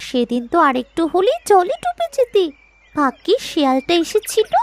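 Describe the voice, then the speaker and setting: Squeaky, very high little myna bird. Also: female voice, huge pitch swings, normal speed, exaggerated voice. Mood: earnest warning. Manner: urgent, pleading. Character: small talking myna bird, loyal, anxious protector of prince. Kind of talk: cartoon fairy-tale voice acting.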